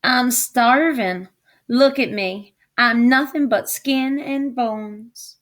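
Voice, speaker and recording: A woman voicing a hungry, sly male wolf from a storybook, polite and confiding in a smooth-talking manner. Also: on the high side, takes it slowly, a bit bright, voice pushed up. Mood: pleading, hungry. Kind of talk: storybook character voice.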